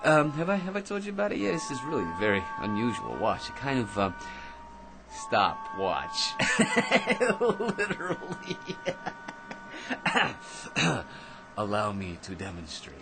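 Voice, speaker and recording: Swooping, self-satisfied crackpot rambler. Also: male voice, medium pitch, wildly swooping pitch, a little slow, gravelly, pauses often, music in the background, full character voice. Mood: smug, chatty. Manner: rambling, stammering, repeats himself, giggly. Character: chattering crackpot man, grandiose, pushy and self-satisfied. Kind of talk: radio drama acting.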